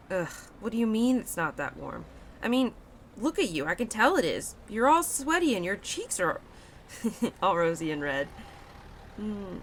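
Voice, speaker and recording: Woman acting as female nature spirit, very lively swooping intonation, mid-pitched, playful, affectionate, chatty, expressive, audio roleplay girlfriend. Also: slow pace, long pauses, slight background noise. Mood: teasing, playful. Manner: expressive, chatty, teasing, with laughs and sighs. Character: playful, affectionate female autumn spirit, protective childhood friend.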